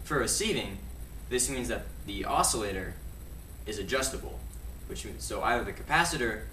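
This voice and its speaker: A man's voice: fairly low pitch, lively intonation, normal speed, sharp tone. A young man giving an explanatory presentation for an educational video. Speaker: student presenter. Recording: echoey room, distant mic, faint noise.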